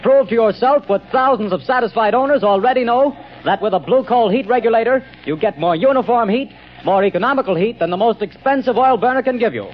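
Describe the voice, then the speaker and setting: Male announcer, vintage radio advertising, high-pitched, formal and emphatic, muffled old broadcast recording. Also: a little fast.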